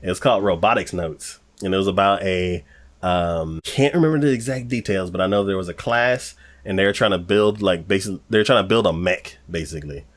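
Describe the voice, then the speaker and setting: A man's voice: low-pitched, fairly quick. A man co-hosting an anime podcast, chatting casually and rambling with lots of fillers. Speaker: podcast co-host.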